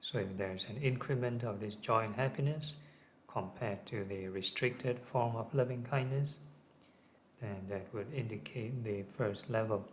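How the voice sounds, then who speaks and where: Low-ish male voice leading a meditation, gentle n measured, bit of ambient noise. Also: low-quality recording. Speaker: meditation teacher. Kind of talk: guided meditation instruction. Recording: clearly audible ambient background noise.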